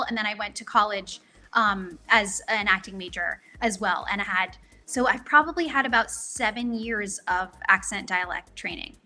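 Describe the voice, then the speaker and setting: A woman's voice: a little high, bright, forceful tone. A young woman voice actor chatting casually as an interview guest, bubbly and full of ums, on a remote call.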